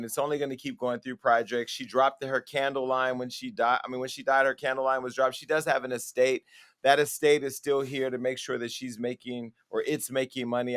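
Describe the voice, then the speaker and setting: A man hosts a talk show and tells stories in a chatty way, full of quick asides. His pitch sits in the ordinary middle range. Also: fairly bright tone. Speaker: host. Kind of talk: casual talk-show chat.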